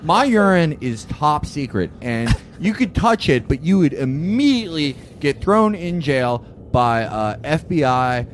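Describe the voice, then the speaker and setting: A man's voice: on the high side, very animated. Man as a blustering fake officer, noisy recording. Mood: bluffing. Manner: blustering, halting bravado.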